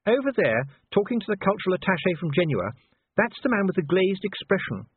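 A man's voice: sits high, big pitch swings, fairly quick, breathy edge, narrow, tinny audio, pitched above the performer's own voice, exaggerated voice. A man voicing a genteel, proper married woman, politely firm and ladylike, in an audiobook. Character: genteel, proper wife of an ambassador, gently insistent. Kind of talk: audiobook character voice.

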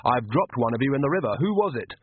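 A man's voice: mid-range voice, very animated, fast talker, slight background noise, natural voice. A man voicing a nervous but defiant young woman witch with a tense manner. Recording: tinny phone-like dry sound.

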